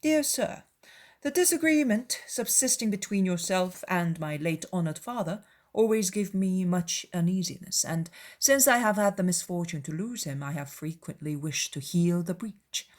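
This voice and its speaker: A woman's voice: fairly low pitch, expressive, natural voice. Woman acting a pompous, obsequious young clergyman. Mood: self-important. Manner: pompous, formal, deliberate.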